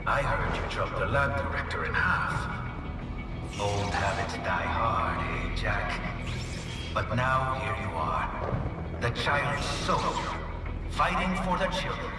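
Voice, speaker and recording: A man's voice: lowish voice, very animated, slow. An actor playing a cynical, swaggering swordsman villain in a video game, mocking and theatrical. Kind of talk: dramatic video game cutscene monologue. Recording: echoing hall, distant, loud music behind.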